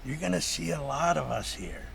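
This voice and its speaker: Touchy bitter fish-faced townsman in a game, highish creaky voice, swings up and down. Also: expressive, normal speed, a bit bright, slight background noise, full character voice. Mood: bitter, defensive. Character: defensive, bristling fish-faced outcast townsman, proud and bitter. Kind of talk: video game dialogue acting.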